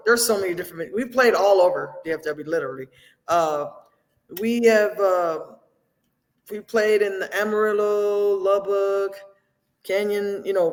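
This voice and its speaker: A woman from West Texas, a singer talking through an interview in a friendly, rambling way. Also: mid-range voice. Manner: friendly, rambling, lists places. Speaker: singer-songwriter and interview guest. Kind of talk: casual interview chat. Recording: reverberant hall sound.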